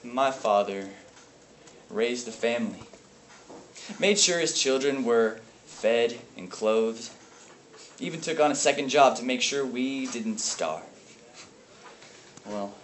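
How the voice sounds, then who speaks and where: A man performing a dramatic monologue as a haunted, bitter, grieving brother, speaking in a halting, intense way. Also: mid-range voice, animated, fairly bright tone. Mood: bitter grief. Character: grief-stricken brother, haunted and bitter over family abuse. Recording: echoey, noisy room from far off.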